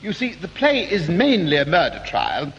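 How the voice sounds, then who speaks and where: A man's voice: a little high, wildly swooping pitch, normal speed, fairly bright tone, muffled recording, full character voice. A man playing a timid, prim English amateur play producer, hesitant and fussy, in a 1960s British radio sitcom. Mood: fussy, nervous. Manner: hesitant, prim, nervous chuckling. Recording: faintly noisy 1960s radio broadcast.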